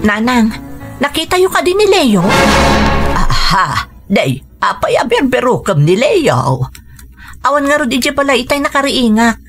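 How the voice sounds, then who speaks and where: A woman's voice: medium pitch, slightly rough. An exasperated Ilocano wife and mother. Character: Barbara, hard-working exasperated wife and mother. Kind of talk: Ilocano radio drama acting.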